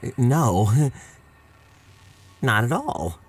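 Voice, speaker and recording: A man playing an evasive, quietly ominous astronomer in an audio drama, hesitant and stammering. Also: mid-range voice, big pitch swings, drawn-out words, husky, faint music behind, full character voice. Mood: quietly menacing.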